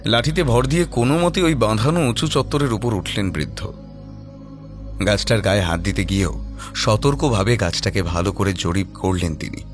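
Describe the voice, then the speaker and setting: A man's voice: lowish voice, expressive, normal speed, over music. A man narrating a scripted horror audio story in a suspenseful, dramatic manner.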